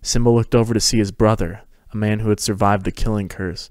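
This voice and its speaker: A man's voice: on the low side, steady tone, brisk, darkish tone, no room sound. A man narrating a fan fiction story as a scripted dramatic reading.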